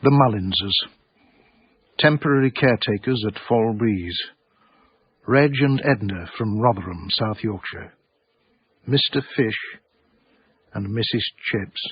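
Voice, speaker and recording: An actor playing a wry, literary art dealer, narrating his own story in measured, florid phrases for radio drama. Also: fairly low pitch, slightly rough, no room sound, highs cut off. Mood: ominous, wry.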